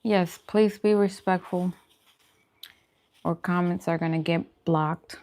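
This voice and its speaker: A woman chatting casually and informally as she hosts a food video, answering viewers in an unhurried way. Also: lowish voice, fairly even intonation, a little mellow. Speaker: host of a vegan food channel, eating on camera. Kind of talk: casual chat while eating, mukbang livestream.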